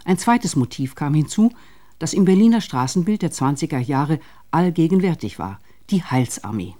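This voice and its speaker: A man's voice: a little high, expressive, normal speed, mellow. A man reading scripted narration for a radio feature.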